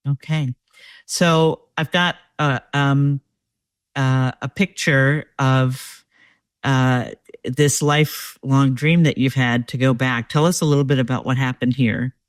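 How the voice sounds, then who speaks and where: Mid-pitched interview host, dry sound. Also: fairly even intonation, no room sound. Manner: guiding, reads captions aloud, pauses to check pronunciation. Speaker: host, executive coach. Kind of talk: interview questions.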